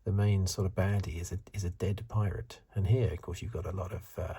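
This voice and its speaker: Male, 50s, ASMR host: very breathy, hushed, deep voice, halting off-the-cuff musing. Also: steady tone. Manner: hesitant, halting, unscripted musing. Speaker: host of an ASMR video channel. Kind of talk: soft-spoken whispery ASMR commentary.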